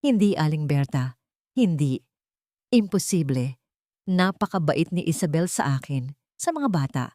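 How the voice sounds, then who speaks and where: A woman's voice: low voice, sing-song, unhurried, pauses often, mellow, no room sound. A trusting Filipino husband and father questions and insists in disbelief, as acted by a woman narrator. It is dramatic audio storytelling with a dry, roomless sound. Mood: uneasy, disbelieving.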